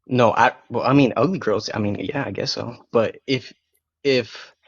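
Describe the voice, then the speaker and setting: A man's voice: mid-range voice, moderate pace, breathy edge, no echo. A young man whose first language is Spanish, arguing bluntly in a casual live-stream debate, rambling with many restarts and fillers. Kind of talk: casual argumentative chat. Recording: dry, dull lo-fi call audio.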